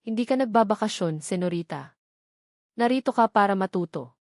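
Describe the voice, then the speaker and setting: A woman's voice: mid-range voice, expressive, normal speed, no room sound, clean studio sound, lightly acted. A Filipino woman voicing a cold, terse, rugged male former driver in an audio story. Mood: cold, stern. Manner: curt, cold.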